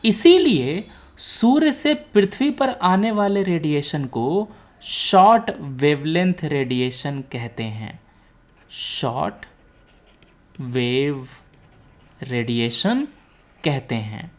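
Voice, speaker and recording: Low-voiced Indian woman teaching, unhurried and animated. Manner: didactic, repeats key points. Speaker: teacher, exam coaching instructor. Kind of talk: explanatory lecture with check-in questions.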